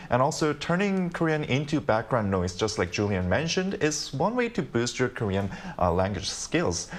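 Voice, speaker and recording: A man on a studio panel who works as an interpreter, giving step-by-step advice with small pauses. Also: medium pitch.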